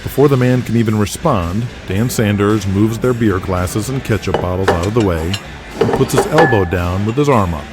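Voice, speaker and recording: A man's voice: lowish voice, normal speed. A man narrating a scripted audio drama as the storyteller. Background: music with guitar.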